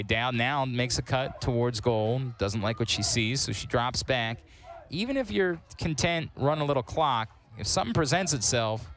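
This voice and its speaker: Man calling a lacrosse game. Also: medium pitch. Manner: quick, excitable calls on big plays. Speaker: sports commentator. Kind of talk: live sports play-by-play commentary.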